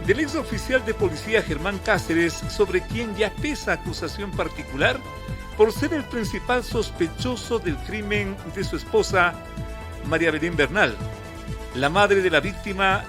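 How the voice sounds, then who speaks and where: A high-pitched man hosts a radio news program, delivering formal commentary in a measured, emphatic way. A faint music bed plays softly under his voice.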